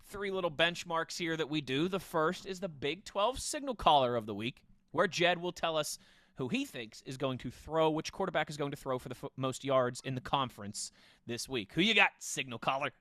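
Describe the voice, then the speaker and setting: Bright-voiced male sports podcast host. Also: fairly high pitch, lively intonation, moderate pace, no room sound, clean studio sound. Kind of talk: sports podcast banter and segment hosting.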